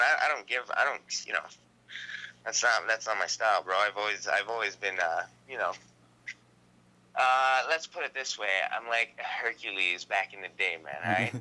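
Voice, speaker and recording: Dude on a phone interview, lowish voice, real bright and edgy, says man and right a lot. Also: low-quality recording. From Canada. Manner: slangy, emphatic, peppers talk with "man" and "right". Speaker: interview guest. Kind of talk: casual phone interview chat. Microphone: phone call.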